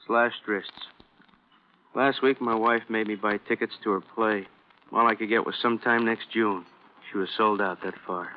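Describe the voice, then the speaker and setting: Man acting a deep, weary old-radio policeman, muffled. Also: steady tone, close to an everyday voice. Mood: somber, halting. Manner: halting, clipped fragments, repeatedly addressing partner. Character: weary police officer reporting a death, wistful, awestruck. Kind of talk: old-time radio crime drama acting. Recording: muffled phone-like vintage radio recording.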